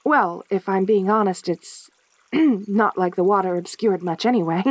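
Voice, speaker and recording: A woman playing a lonely, possessive centaur girl in a soft-spoken audio roleplay, with a halting, stammering delivery. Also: medium pitch, no echo, close to an everyday voice. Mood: shy, nervous.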